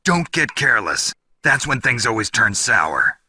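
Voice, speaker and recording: An actor playing a gruff, battle-hardened soldier in a video game, with a gravelly, curt and world-weary delivery. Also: male voice, mid-range voice, very animated, a little slow, pushed and bright, full character voice. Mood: alarmed, urgent. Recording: dramatic music behind the voice.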